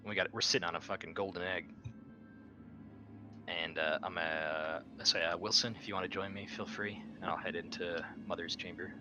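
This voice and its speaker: A man's voice: deep voice, fairly even intonation, moderate pace, bright, forceful tone, highs cut off, soft background music. A man playing a tabletop roleplaying game, talking casually as one of the players. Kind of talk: casual in-character game chat.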